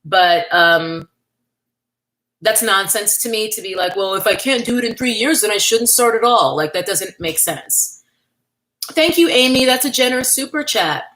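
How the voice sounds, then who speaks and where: A woman's voice: mid-range voice, animated, moderate pace, a bit bright, poor audio. A woman from New York, hosting a casual livestream, chatting candidly and thanking viewers by name as she reads their comments. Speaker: livestream host.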